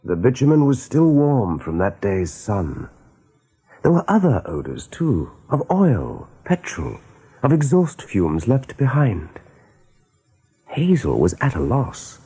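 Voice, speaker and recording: A man narrating a radio play as a dramatic storyteller, with a husky voice. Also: mid-range voice, huge pitch swings, slow, warm tone.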